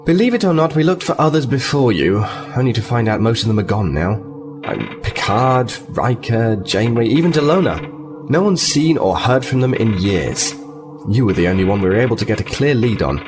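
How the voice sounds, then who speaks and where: A man's voice: mid-range voice, very animated, moderate pace, over music, close to an everyday voice. A man playing an earnest, diplomatic lieutenant in a sci-fi audio drama, polite and composed as he explains. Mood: grave, earnest. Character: earnest, diplomatic male starfleet lieutenant, liaison officer.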